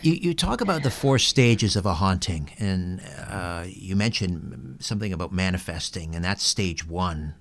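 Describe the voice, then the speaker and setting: A man's voice: low-pitched, animated, moderate pace, hardly any pauses. A man hosting an interview, putting questions and prompts to his guests. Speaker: interview host.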